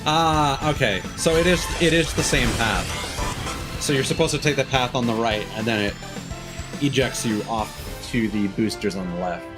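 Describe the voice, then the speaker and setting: A man's voice: medium pitch, moderate pace. A man streaming a video game, giving casual commentary in a musing, thinking-aloud way. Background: game music.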